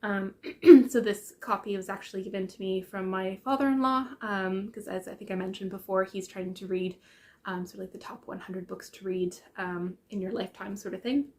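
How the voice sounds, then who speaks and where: A young adult woman with a congested, croaky voice from a sinus infection, chatting casually about books with many ums and self-corrections. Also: mid-range voice, normal speed, darkish tone. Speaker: book vlogger. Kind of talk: casual book chat.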